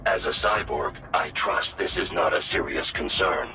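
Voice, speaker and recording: An actor voicing a coolly logical artificial companion in a video game, formal, precise and deadpan. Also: male voice, extremely low pitch, normal speed, distant mic. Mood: formal, detached. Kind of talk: video game radio-call dialogue.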